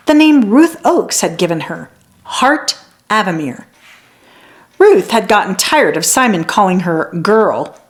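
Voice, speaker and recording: A woman reads a children's story aloud in an expressive, deliberate way. Her mid-range voice moves freely up and down as she tells the tale. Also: medium pitch. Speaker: children's read-aloud narrator. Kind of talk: scripted storybook narration.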